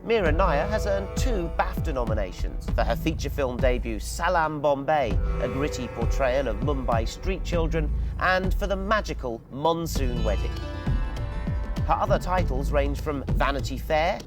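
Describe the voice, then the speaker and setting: A man, a film critic, introducing a director and asking her interview questions in a measured way. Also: a little high, animated. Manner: measured, with short backchannel replies. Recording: music under the voice.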